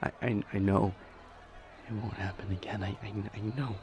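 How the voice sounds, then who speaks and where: A man playing an exhausted, devoted demon lover in an audio roleplay, halting and breathy as he strains to speak. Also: lowish voice, little pitch movement, a little mellow, lightly acted. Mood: strained, desperate. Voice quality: breathy, whispery. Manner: halting, straining, repeats words. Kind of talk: intimate dramatic audio roleplay.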